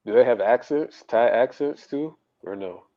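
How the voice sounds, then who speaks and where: A man's voice: mid-range voice, animated, moderate pace, warm tone, no room sound, dull audio. A man on a group call asking questions in a casual chat, answering with short agreeable replies.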